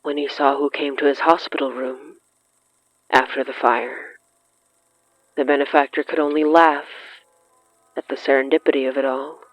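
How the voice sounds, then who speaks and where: A narrator reading dramatic horror fiction in a measured, halting way with weighty pauses. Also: medium pitch, flat delivery, no echo, highs cut off. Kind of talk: slow, dramatic scripted narration.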